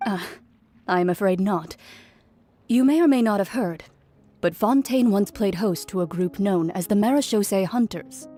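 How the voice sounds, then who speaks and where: An actress playing a serious, blunt young officer in a video game, speaking precisely and matter-of-factly. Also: female voice, medium pitch, moderate pace, mellow, close to an everyday voice. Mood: explanatory.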